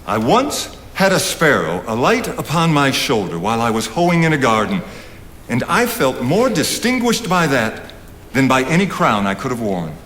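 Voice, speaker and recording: Man playing expressive, courtly literary gentleman, reverberant stage. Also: medium pitch, normal speed. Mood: musing. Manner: formal, literary phrasing, expressive. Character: learned, courtly married man, Thoreau's fond, teasing older friend. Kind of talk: theatrical period stage dialogue. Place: on stage in a theatre. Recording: noisy stage recording in reverberant theatre.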